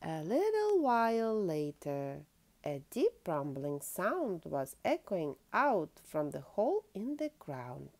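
Very animated, unhurried woman reading a storybook. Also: lowish voice. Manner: asks listeners questions. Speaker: storytime host reading aloud. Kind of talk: expressive children's picture-book read-aloud.

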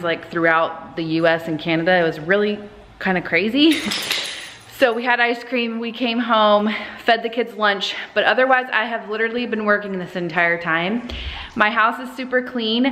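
A woman's voice: medium pitch, a bit bright. A woman in her thirties filming herself at home, chatting casually through her cleaning day with lots of "like" and "um". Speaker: vlogger. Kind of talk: cleaning vlog narration and selfie chat. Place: large house, indoors. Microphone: phone camera at arm's length.

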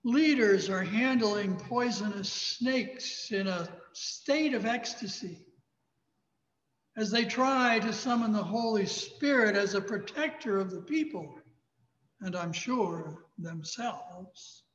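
A man in his sixties with an American accent, a pastor preaching a sermon in a measured, emphatic way. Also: high-pitched, lively intonation, fairly bright tone, slight background noise. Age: 60s. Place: large church sanctuary, indoors. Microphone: thin headset microphone. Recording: echoey church hall, slightly dull sound.

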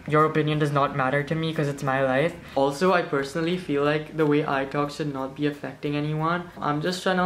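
A man's voice: a little high, fairly bright tone, a little hiss or hum. Young man, defensive vlog talk with earbuds. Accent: American. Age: young adult. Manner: defensive, fast and filler-heavy. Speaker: vlogger. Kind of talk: casual vlog talk to camera. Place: small bedroom, indoors.

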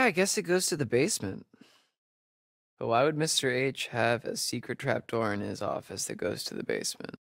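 A man's voice: mid-range voice, creaky, no echo, studio quality. A young man with an American accent acting a wisecracking, nervy camp counselor in a video game, with casual, hesitant delivery.

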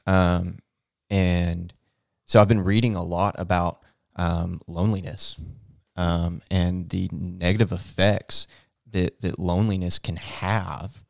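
A young man with a low, gravelly voice co-hosting a casual podcast. He chimes in with easygoing asides and plenty of "um"s, recorded dry and close on a studio mic. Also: flat delivery, slow pace, dry recording. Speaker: podcast co-host. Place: small room indoors, seated in armchair. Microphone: boom-arm studio mic close in front.

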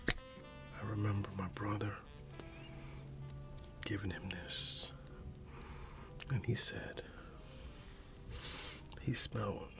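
A middle-aged man whispering softly in an ASMR roleplay, reminiscing with gentle chuckles. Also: low-pitched, creaky. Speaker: ASMR creator. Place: dim room at home.

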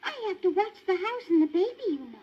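A woman voicing a gentle young female pet spaniel in a storybook, earnest and pleading, in a much higher voice. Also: lively intonation, quick pace, dull audio.

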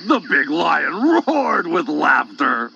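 Very gravelly man, high for a male, children's storyteller, music behind. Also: very animated, slow, bright, forceful tone, slight background noise. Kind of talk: animated scripted children's story narration. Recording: dull cassette tape.

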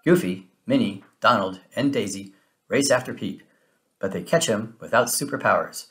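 A man reading a children's story aloud in an expressive, animated way with dramatic emphasis. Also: on the low side, moderate pace. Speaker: storybook reader. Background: music.

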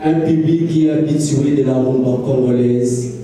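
A man's voice: mid-range voice, steady tone, moderate pace, mellow. Young man asking a formal question, echoey hall. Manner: formal, reads from notes. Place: audience of a large hall. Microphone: handheld microphone. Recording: echoey hall from a distance with room noise.

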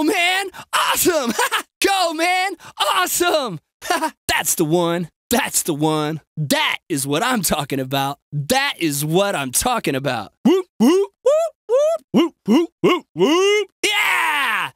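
A man voicing an excitable, snarky everyman bystander in a video game, with a whiny, exaggerated delivery. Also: extremely high pitch, slow pace, dry recording, studio quality, heavily acted. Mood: excited.

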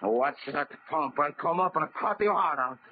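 An actor playing a brash, greedy, hot-tempered deep-sea diver in a 1930s radio drama, gruff and bossy. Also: male voice, moderate pace, lightly acted. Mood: impatient, angry. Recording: muffled, noisy old 1930s radio recording.

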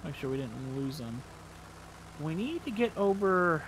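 A man's voice: fairly high pitch, very animated, unhurried, rough recording. A man in casual multiplayer gaming chat, giving his group directions over game vehicle noise. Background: game vehicle sounds.

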